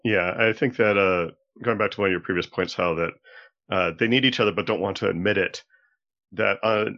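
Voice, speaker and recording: A man's voice: deep voice, brisk. A man taking part in a casual podcast discussion, recorded with slightly dull, lo-fi sound. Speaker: podcast participant.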